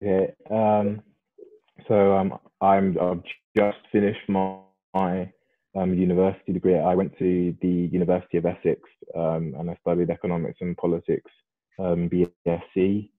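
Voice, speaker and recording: Low-voiced young British man, phone-like audio. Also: little pitch movement, no echo. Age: early 20s. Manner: polite, halting. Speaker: panel guest. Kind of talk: conversational self-introduction. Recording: tinny, phone-like online call audio.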